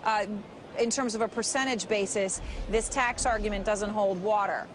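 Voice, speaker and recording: A woman in her thirties anchoring live business news in a TV studio, asking brisk, poised questions. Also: medium pitch, noisy background.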